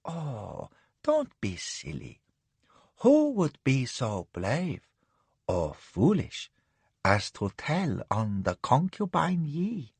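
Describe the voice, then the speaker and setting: A man voicing a drowsy, capricious young imperial concubine who purrs her words slowly. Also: mid-range voice, wildly swooping pitch, vocal fry, breathy edge, dry recording, exaggerated voice. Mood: purring teasing.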